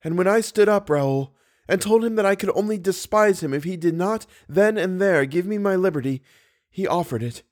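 A man's voice: high-pitched, lively intonation, moderate pace, pitched above the performer's own voice. A man reading an audiobook, voicing a frightened, earnest and passionate young woman. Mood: impassioned, horrified. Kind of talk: audiobook character dialogue.

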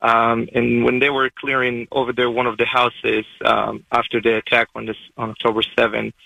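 A man, calling in to a radio show and speaking fast and emotionally, with many halting restarts. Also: medium pitch, monotone, vocal fry, pushed and bright, no room sound, low-quality recording. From Israel. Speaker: caller. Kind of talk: call-in testimony.

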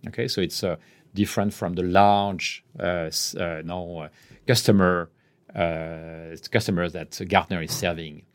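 A man in his fifties speaks English with a French accent. His voice sits on the low side, and he chooses his words carefully, pausing often and letting phrases fade quietly at their ends. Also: fairly even intonation. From France. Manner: measured, often pausing mid-phrase, voice trailing off softly. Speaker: guest executive. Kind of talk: podcast interview answers.

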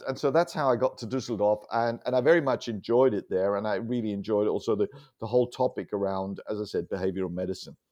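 Measured, reflective man from Germany. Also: on the low side, darkish tone, lo-fi sound. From German, raised abroad. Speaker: interview guest, physician. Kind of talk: conversational life-story interview.

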